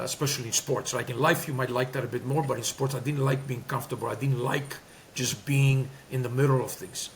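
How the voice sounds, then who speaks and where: Greek-accented man, gravelly voice, faint hiss under it; emphatic interview guest. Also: medium pitch. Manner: talkative, emphatic, many fillers and restarts. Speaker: guest, former player and coach. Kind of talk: candid interview talk.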